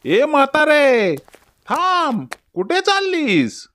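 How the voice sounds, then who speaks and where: A woman voicing a hungry, menacing but gullible male fox in a children's cartoon story, taunting in drawn-out phrases. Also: high-pitched, wildly swooping pitch, a bit bright, voice pushed up, heavily acted.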